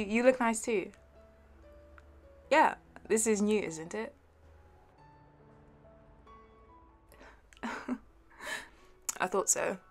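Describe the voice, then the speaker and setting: A woman's voice: medium pitch, gravelly. A woman playing a playful, shyly fond young best friend in an intimate audio roleplay, giggly and hesitant. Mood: flustered, shy. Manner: giggly, hesitant, soft trailing asides. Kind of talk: intimate audio roleplay, one side of a conversation.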